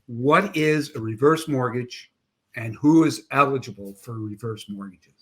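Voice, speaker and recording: A man's voice: medium pitch, measured pace, a bit bright. A man in his sixties hosting an interview over a video call, friendly and chatty with joking asides. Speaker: show host. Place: home office with framed certificates, indoors.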